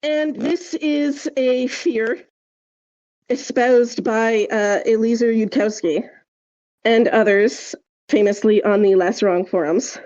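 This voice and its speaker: A woman with a fairly high yet very mellow, soft-edged voice talks through ideas as a podcast host. She is casual and emphatic, leaning on conversational fillers as she explains. The sound is slightly dull and lo-fi. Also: takes it slowly. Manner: emphatic, hedging with frequent "like" and "right?".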